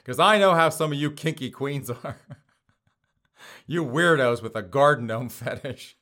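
Hoarse male host, sarcastic, laughs through his lines, mid-pitched voice, casual mocking commentary. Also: a little slow, a bit bright. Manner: sarcastic, laughs often between phrases. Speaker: podcast host.

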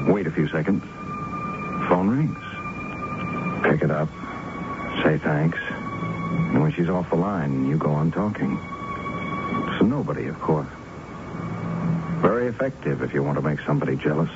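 Lonely, wry rambler; very deep voice with big swings, vintage radio monologue. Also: male voice, very noisy, heavily acted. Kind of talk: 1970s radio drama monologue. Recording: dull old radio broadcast with loud music.

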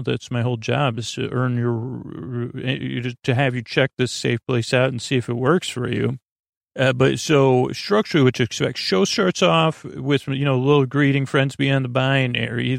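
A man with a mid-pitched voice full of vocal fry hosts a soothing sleep podcast. He wanders off topic, halts and restarts sentences, and sounds friendly and a bit goofy. The recording is close and dry, with very little room sound. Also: no echo. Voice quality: creaky. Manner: meandering, tangential, halting, trailing off mid-sentence. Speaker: podcast host.

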